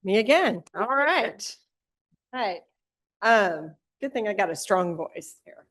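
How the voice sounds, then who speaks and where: A woman official from an American state agency, presenting program updates at an advisory council meeting in a measured way with frequent pauses. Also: medium pitch, lively intonation, fairly bright tone.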